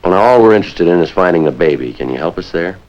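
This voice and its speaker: A man's voice: deep voice, animated, fast talker, a little hiss or hum. A man playing a terse, businesslike American police sergeant in a 1950s procedural, speaking and narrating in a clipped, matter-of-fact way. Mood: terse, insistent. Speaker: lead detective and narrator. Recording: dull 1950s film soundtrack, music underneath.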